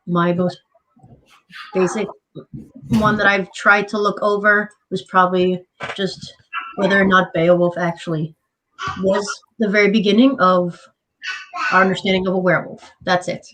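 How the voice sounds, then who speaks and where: A woman's voice: medium pitch, fairly even intonation, measured pace, pauses often, a bit bright, a little hiss or hum, low-quality recording. A woman guest on a casual group podcast, joking and playful.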